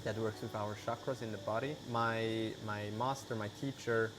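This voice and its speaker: A man's voice: fairly low pitch, moderate pace, background noise. Man chatting, insects buzzing behind. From Switzerland. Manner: relaxed, many "like" and "you know" fillers. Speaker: guest, vegan cheese maker. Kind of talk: casual interview.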